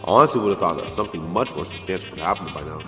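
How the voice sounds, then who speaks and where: Audio drama teen boy, played by a man: sarcastic slacker, flustered, rambling excuses, very lively mid pitch, music behind. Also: rapid-fire. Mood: musing. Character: fifteen-year-old boy, slacking high-school student and secret hero. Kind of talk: audio drama acting with inner monologue.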